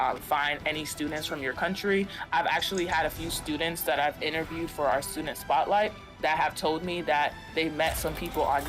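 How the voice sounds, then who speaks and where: A man hosting a live esports stream, reading and answering viewer comments with lots of ums, over background music. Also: fairly high pitch. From United States. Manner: upbeat, thanks viewers by name, frequent ums. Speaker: university esports stream host. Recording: clear music behind voice.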